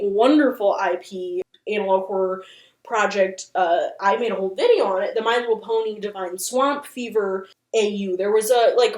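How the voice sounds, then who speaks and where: Echoey, high-voiced woman chatting. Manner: chatty, opinionated, playfully dramatic. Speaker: host of a channel on internet horror. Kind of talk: casual video commentary.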